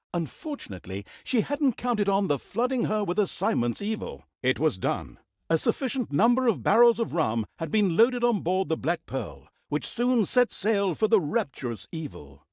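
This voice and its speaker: A man's voice: on the high side, huge pitch swings, normal speed, a little mellow, dry recording, studio quality. A man playing a mock-grand, sardonic narrator of a villain's exploits in a fantasy video game, telling the story in a hammy theatrical way. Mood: mock-grand, wry.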